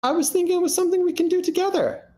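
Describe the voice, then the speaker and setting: A man's voice: very high-pitched, very fast, warm tone. A man playing an earnest, placating husband in a screenplay table read. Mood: tender, hopeful. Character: earnest, placating husband hiking with his wife. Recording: background noise on a live meeting.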